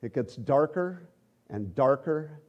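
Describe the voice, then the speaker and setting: Warm, husky-voiced man giving a sermon. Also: medium pitch. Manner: relaxed, joking, halting asides. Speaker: church teacher. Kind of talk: conversational sermon.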